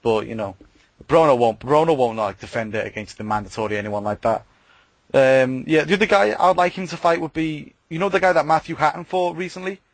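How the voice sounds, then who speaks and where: A man's voice: on the high side, a little fast, no echo. A man chats casually as a podcast co-host. He hesitates often and leans on fillers. The sound is dry and close to the mic. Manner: hesitant, many fillers like "you know". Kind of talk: casual chat.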